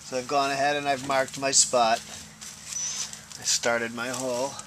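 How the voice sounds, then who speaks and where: Man, forties, medium pitch, casual DIY instructor, plain-spoken, step-by-step, noisy camera mic recording. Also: level tone, a little slow. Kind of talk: casual hands-on tutorial talk while working. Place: inside a plastic-covered wood-framed dome greenhouse. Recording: noisy ambient camera audio.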